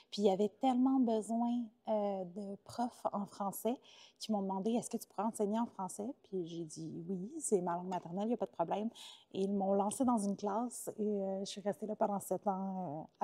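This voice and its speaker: A woman's voice: medium pitch, mellow. A woman in her thirties with a Québécois accent, chatting as an interview guest, talkative with many filler sounds. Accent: Québécois French. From Quebec, Canada. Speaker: interview guest, former teacher turned entrepreneur. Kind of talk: casual interview conversation.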